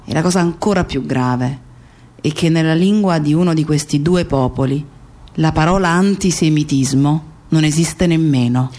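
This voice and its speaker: An actress playing an anguished, reflective idealist appalled by bloodshed, in an emphatic, rhetorical radio theatre monologue. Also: female voice, sits low, moderate pace, warm tone, lightly acted.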